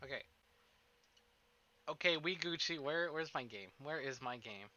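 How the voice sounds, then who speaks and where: A man chatting casually to livestream viewers in an animated, self-interrupting, playfully profane way, as host of a game stream. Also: fairly high pitch, unhurried, dull audio. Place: indoors at a desk.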